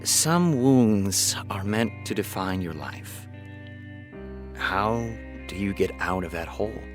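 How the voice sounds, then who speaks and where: Low-voiced, reflective show creator. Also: male voice, lively intonation, takes it slowly, music in the background. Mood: solemn.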